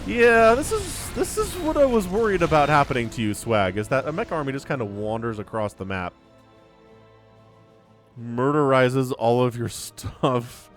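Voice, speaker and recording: A man giving casual, chatty live commentary on a video game as a shoutcaster, a bit sniffly with a cold. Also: medium pitch, expressive, normal speed. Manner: chatty, informal, slangy asides. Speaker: esports shoutcaster. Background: music.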